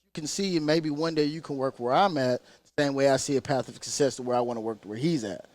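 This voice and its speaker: A man's voice: medium pitch, quick pace, a little mellow, dry recording. Young man, twenties, assistant coach, earnest, motivational, dry recording. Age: 20s. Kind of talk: casual podcast interview.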